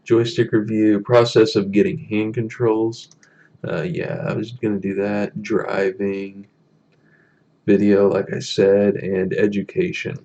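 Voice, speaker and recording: A young man with a deep voice chatting casually on a live stream from a small room, halting with many ums as he reads the chat. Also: flat delivery, mellow, lo-fi sound. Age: young adult.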